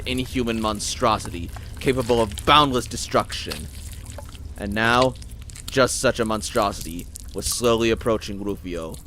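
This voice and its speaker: A man's voice: on the low side, fairly bright tone, a little hiss or hum. A man giving a theatrical, intense scripted narration as a storyteller. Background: music.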